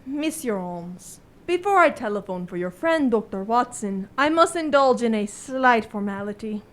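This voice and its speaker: Casting: female actor as officious French inspector, declamatory radio drama style, lively swooping delivery. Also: a little high, normal speed, lightly acted. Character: male French police inspector, officious and pompous. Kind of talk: student radio drama acting.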